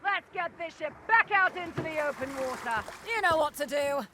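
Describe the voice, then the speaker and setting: A woman's voice: high voice, lively intonation, moderate pace, sharp tone. A woman playing a commanding, brusque pirate captain in an audio drama. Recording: noisy crowded ship-deck sound effects.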